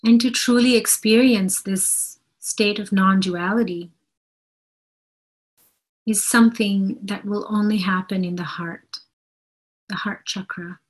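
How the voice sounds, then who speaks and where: A woman speaks as a spiritual teacher giving an inspirational talk. Her voice is soft-edged and husky, at a middle pitch. She goes very slowly, setting out each short phrase with earnest, deliberate emphasis and repetition. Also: fairly even intonation, poor audio.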